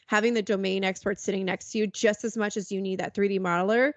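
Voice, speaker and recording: A woman's voice: medium pitch, steady tone, fast talker, no room sound. A woman hosting a podcast, chatting casually in loose, rambling sentences. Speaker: podcast host. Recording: dry, slightly dull-sounding.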